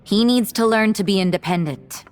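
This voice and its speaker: A woman playing a thoughtful, composed young storyteller in a video game, speaking in a measured, reflective way. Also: medium pitch, close to an everyday voice. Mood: knowing.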